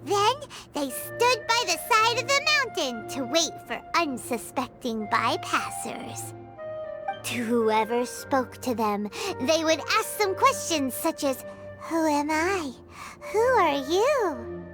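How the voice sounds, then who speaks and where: A woman voicing a small, excitable and easily spooked fairy girl in a video game, with a squeaky childlike voice and theatrical delivery. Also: takes it slowly, heavily acted. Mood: spooky storytelling. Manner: theatrical, refers to self in third person. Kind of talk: animated video game dialogue acting. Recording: game music behind the voice.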